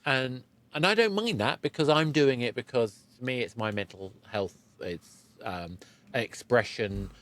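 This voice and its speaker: A man's voice: medium pitch, animated, normal speed, fairly bright tone, no echo. A man hosting a conversational podcast interview, often repeating and rephrasing his words as he goes. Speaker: podcast host.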